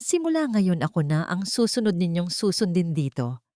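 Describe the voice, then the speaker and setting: A woman's voice: on the low side, very animated, normal speed, mellow, dry recording, clean studio sound. A woman voicing a domineering, scornful usurper giving orders in an audio story. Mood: imperious. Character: domineering, scornful young usurper taking charge.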